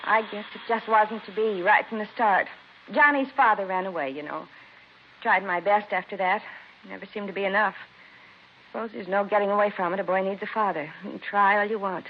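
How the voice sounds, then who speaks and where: Worn-out, resigned mother, acted by a woman: mid pitch, plaintive and halting, on dull vintage radio. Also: close to an everyday voice. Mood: weary, plaintive. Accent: American. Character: weary, resigned working mother of a missing teenage son. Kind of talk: 1950s radio drama acting. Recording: muffled old radio recording, faint noise.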